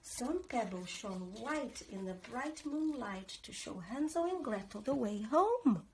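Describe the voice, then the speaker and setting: A middle-aged woman reading a children's story aloud in an expressive, dramatic way. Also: on the high side, slight background noise. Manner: slow, animated, dramatic. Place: living room, on a sofa.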